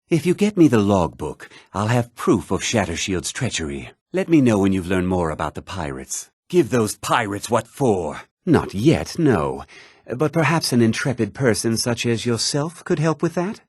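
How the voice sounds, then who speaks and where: A man's voice: fairly low pitch, breathy edge, no room sound, studio quality. An actor voicing a haughty, self-important townsman in a fantasy video game, with a pompous, theatrical delivery. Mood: businesslike. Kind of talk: scripted fantasy video game character dialogue.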